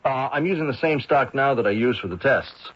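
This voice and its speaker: Film crewman, male, radio play: eager, informal, slightly rough voice, muffled vintage recording. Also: medium pitch, lively intonation, fairly quick. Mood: assured. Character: eager, defensive male movie cameraman. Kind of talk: old-time radio drama acting.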